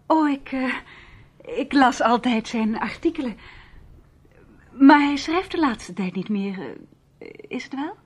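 A woman's voice: high-pitched, animated, unhurried, pauses often, slightly rough, full character voice. A woman playing a famous actress in a Dutch radio play, polite, guarded and a little hesitant in a theatrical way. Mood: nervous, hesitant.

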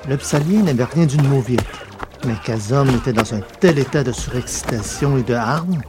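A man's voice: medium pitch, normal speed, music in the background. A man narrating a science-fiction adventure novel as a scripted audiobook reading.

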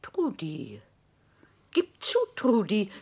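Husky, imperious old widow, very expressive. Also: female voice, medium pitch, heavily acted. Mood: silky, commanding. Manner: grand, condescending, deliberate. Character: imperious elderly consul's widow, sweetly patronizing and scheming. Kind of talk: German radio drama acting.

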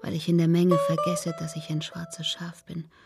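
A woman's voice: fairly low pitch, completely flat delivery, moderate pace, gravelly. An actress playing a fat, talkative, greedy peddler woman in a theatrical German radio play, sometimes dropping to a whisper. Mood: chatty, self-pitying. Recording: radio play with loud plucked-string music behind.

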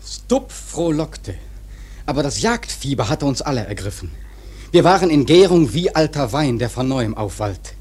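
An actor playing an earnest sailor who narrates a sea tale in a radio drama, husky and intense. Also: male voice, medium pitch, big pitch swings, moderate pace, a little mellow. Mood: solemn, stirred.

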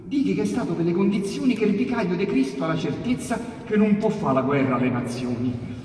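Un uomo con accento romanesco che recita sonetti dialettali in modo teatrale, passando da esclamazioni forti a sussurri bassi. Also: male voice. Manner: dramatic, swinging from loud outbursts to hushed low asides. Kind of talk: theatrical poetry recitation. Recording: echoey hall, distant mic, faint hiss.

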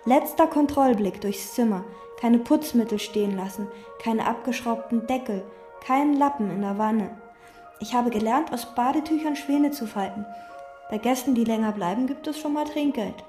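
An actress playing a withdrawn, meticulous chambermaid who speaks precisely and detachedly, in radio drama and inner monologue. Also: female voice, a little high, normal speed, mellow, faint music behind, lightly acted. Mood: flat. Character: meticulous, withdrawn woman chambermaid obsessed with cleaning. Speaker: hotel chambermaid.

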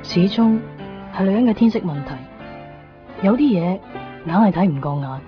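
A woman's voice: medium pitch, lively intonation, normal speed, lightly acted. An actress playing an introspective, guilt-ridden young woman in a Cantonese radio drama, with a Hong Kong accent. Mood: pensive narration. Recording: dull 1990s radio recording, faint music.

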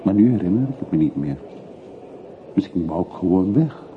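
Middle-aged male emigrant, low voice, reserved, reflective, measured delivery, old radio drama, noisy recording. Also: lively intonation, narrow, tinny audio. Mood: wistful.

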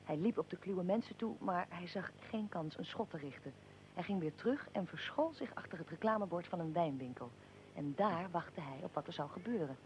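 Woman playing a probing investigator in a radio play, lowish voice, matter of fact and exact, slight rumbly noise behind. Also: lively intonation, fairly quick, a little mellow, close to an everyday voice, poor audio. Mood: factual recounting. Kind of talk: radio drama, interview with narrated account.

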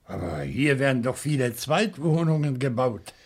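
A man's voice: medium pitch, big pitch swings, moderate pace, slightly rough. An actor playing a dignified, wary professor in his seventies, speaking formal, articulate German radio-drama lines. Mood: friendly, courteous. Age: 70s. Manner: articulate, formal, indignant pride. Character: dignified, wary seventy-year-old French professor living among books.

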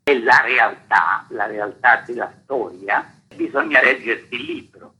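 An older man from Italy with a rough, husky voice, explaining history as an interviewed author in an emphatic, didactic way, building his points by repetition. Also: medium pitch, a little slow, bright, forceful tone, rough recording. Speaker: author being interviewed about a history book. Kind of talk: spoken interview, explanatory storytelling.

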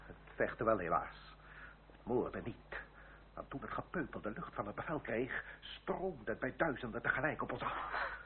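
An actor in a radio drama playing a bitter, haughty French marquis, formal and sardonic. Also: male voice, medium pitch, lively intonation, normal speed, lightly acted. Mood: bitter, scornful. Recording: muffled old radio play with faint hiss.

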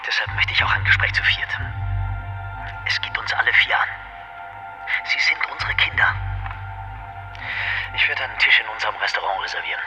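A man's voice: fairly low pitch, expressive, normal speed, pauses often, over music, natural voice. A man playing a curt, insistent brother. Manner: terse, insistent. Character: curt male brother calling about the kids. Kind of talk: radio play acting.

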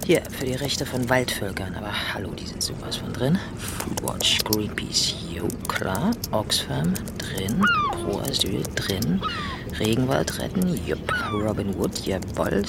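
An actress playing a gleeful activist thief, muttering colloquially to herself in an audio drama. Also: female voice, very deep voice, gravelly, heavily acted. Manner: colloquial, clipped, half-whispered approval. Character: gleeful activist thief choosing where stolen money goes. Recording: loud music behind the voice.

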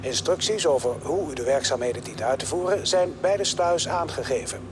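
An actor playing an impassive recycling dispatcher who gives formal, procedural orders in a radio drama. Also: male voice, a little high, lively intonation, fairly quick, background noise, close to an everyday voice. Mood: detached.

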